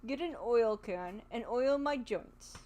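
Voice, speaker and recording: A woman's voice: a little high, expressive, moderate pace, darkish tone, natural voice. A woman voicing a polite, grateful male tin woodman who speaks in a mournful, courteous way. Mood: plaintive. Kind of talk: storybook reading.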